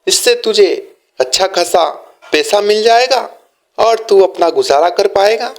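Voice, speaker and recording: Man playing a high-voiced, encouraging young friend. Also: lively intonation, unhurried, vocal fry, heavily acted. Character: helpful, encouraging young friend, a merchant's son.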